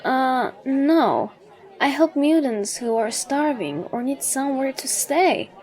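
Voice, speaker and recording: A woman plays a female mutant shelter keeper in a comic dub. Her voice is on the high side. She sounds casual, wry and kindly, teasing as she talks. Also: expressive, slow pace, darkish tone.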